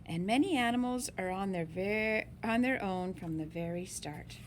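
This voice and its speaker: A woman reading a picture book aloud in an expressive, deliberate way for young listeners. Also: medium pitch, noisy background. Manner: slow, deliberate, animated phrasing for young listeners. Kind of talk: expressive children's read-aloud.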